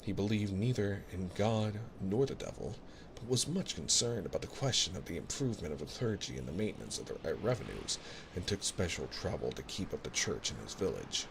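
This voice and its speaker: A man reading a literary bedtime story in a measured, soothing ASMR style, close to a binaural microphone. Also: low voice, very animated, vocal fry. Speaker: audiobook-style reader, ASMR host. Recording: noisy ambience of recorded ocean waves. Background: ocean sounds.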